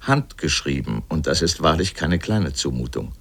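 A man playing an erudite, sardonic narrator of a scripted literary radio essay, speaking deliberately and pointedly. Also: rumbling low, animated, fairly bright tone, slightly rough, heavily acted. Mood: measured, reflective.

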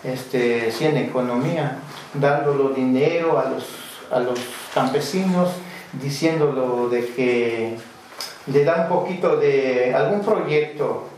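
A man answers as a community council member. He speaks very slowly and deliberately, in plain words with frequent fillers. He is picked up from a distance in a very echoey room. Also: medium pitch, darkish tone. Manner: deliberate, many fillers like "este", plain rural phrasing. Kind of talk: slow spoken testimony in an interview. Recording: very echoey room, distant mic, faint noise.

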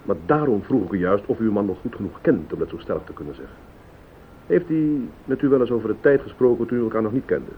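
An actor playing a composed private detective who questions politely and methodically in a radio play. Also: male voice, very animated, quick pace. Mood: grave, explaining. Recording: tinny old radio recording, hiss, rumble.

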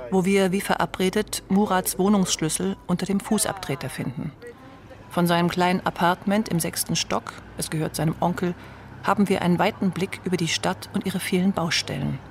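A woman narrating a radio documentary in a calm, measured, scripted way. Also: fairly low pitch, a little hiss or hum.

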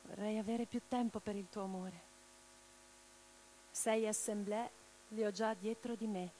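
A woman's voice: medium pitch, steady tone, unhurried, mellow, slight background noise, natural voice, poor audio. An actress playing an impassioned middle-aged socialist revolutionary woman in a theatrical radio drama. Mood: weary, subdued. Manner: declamatory in speeches, intimate in private moments.

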